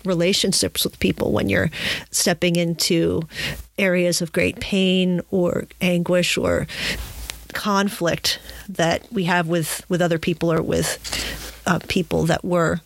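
A woman's voice: lowish voice, flat delivery, measured pace, creaky, no room sound. A woman hosting a reflective conversational interview, searching for her words as she goes. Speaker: podcast host.

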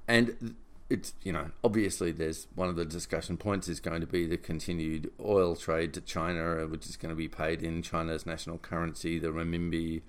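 A man giving informal solo political commentary in a deliberate, emphatic and opinionated way. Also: low voice, little pitch movement. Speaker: podcast host and writer summarizing own article.

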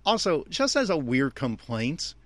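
A man giving opinionated, complaining episode commentary as a host, with emphatic, halting phrasing. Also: mid-range voice, sing-song, a bit bright, no room sound. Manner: exasperated, complaining. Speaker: fan podcast host.

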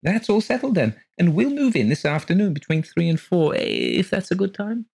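A man's voice: high voice, huge pitch swings, moderate pace, gravelly, darkish tone, slightly rough, dry recording, voice pushed up, exaggerated voice. A man voicing a gushing, fluttery middle-aged woman who is eager to rent rooms. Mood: effusive. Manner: effusive, breathless, fluttery.

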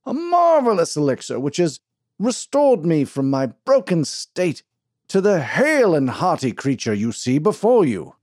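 A man voicing a pompous middle-aged snake-oil doctor who speaks in grandiose, drawn-out oratory. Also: fairly high pitch, wildly swooping pitch, a little mellow, no echo, studio quality, voice pushed up.

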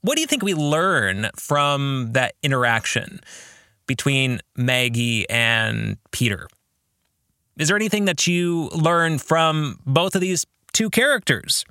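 A middle-aged man hosting a reflective book podcast, speaking deliberately with thoughtful pauses and putting questions to listeners. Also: fairly high pitch, sing-song, pushed and bright, clean tone, no echo, clean studio sound. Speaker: podcast host and author discussing his own novel.

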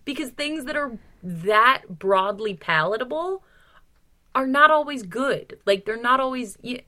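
A woman's voice: on the high side, expressive, a little slow, bright, forceful tone. A woman co-hosting a podcast, discussing books casually and with opinions, talking in an emphatic, exasperated way and swearing freely. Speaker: podcast co-host.

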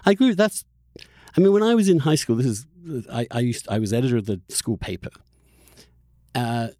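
Halting, emphatic man in dry-sounding discussion. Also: medium pitch, dark tone, no echo. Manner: halting, repeats words, emphatic. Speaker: podcast host, journalist. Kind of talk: conversational podcast discussion.

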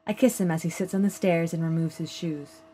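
A woman reading a first-person literary short story aloud in a measured, plain storytelling manner. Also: lowish voice, fairly even intonation, warm tone. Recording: clear background noise.

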